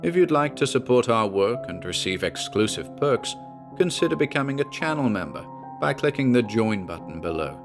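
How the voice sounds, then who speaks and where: A man's voice: medium pitch, big pitch swings, moderate pace, over music. A man speaking as a channel host, reading a scripted closing announcement.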